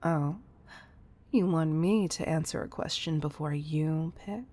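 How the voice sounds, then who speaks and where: A woman playing a commanding but fond CEO in an intimate roleplay audio, speaking softly and deliberately to the listener, often near a whisper. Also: sits low. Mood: teasing, indulgent. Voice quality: breathy, soft. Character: commanding, tough-loving female CEO, fond underneath.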